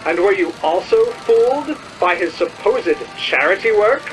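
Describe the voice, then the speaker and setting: A man's voice: sits high, expressive, unhurried, over music, rough recording. A man playing a slick, self-righteous 1950s propaganda newsreel announcer in a video game, emphatic and rhetorical. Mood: insinuating.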